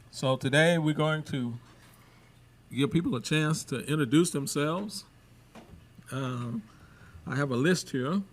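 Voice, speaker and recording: A reverend who chairs a council introduces himself haltingly, with many uhs. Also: male voice, on the high side, a little hiss or hum. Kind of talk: plain self-introduction.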